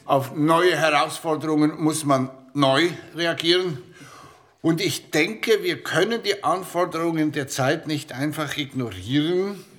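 An actor playing a retiring insurance boss in his sixties, giving a formal, halting farewell speech in a radio play. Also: fairly high pitch, fairly bright tone. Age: 60s.

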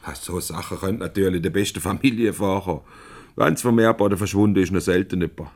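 An actor playing a self-assured, probing company director with a measured, jovially formal manner in a Swiss German radio comedy. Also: male voice, sits low, darkish tone. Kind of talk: 1970s comedy radio play acting.

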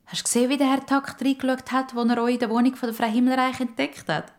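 Casting: weary mum with a dry bitter edge, voiced by a female narrator, Swiss German accent, lifted pitch, children's radio play. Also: level tone, fast. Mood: amused. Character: young girl's weary mother, dryly bitter about her ex.

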